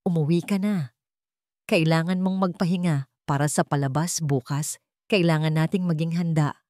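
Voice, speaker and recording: Audiobook heroine, played by a woman narrator: young, anxious, out for revenge, urgent delivery, lowish pitch. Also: fairly even intonation, moderate pace, darkish tone, dry recording, clean studio sound, lightly acted. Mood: resolute.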